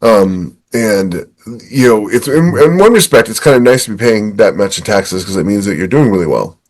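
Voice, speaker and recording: A man's voice: fairly low pitch, lively intonation, normal speed. A man in his fifties giving casual, emphatic, explanatory advice in a conversational chat. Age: 50s. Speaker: podcast co-host.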